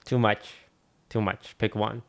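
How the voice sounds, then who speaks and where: A man's voice: fairly low pitch, flat delivery, unhurried, vocal fry, a bit bright, a little hiss or hum. A man streaming, reacting to a video with dry, blunt, teasing remarks. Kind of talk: casual live-stream commentary.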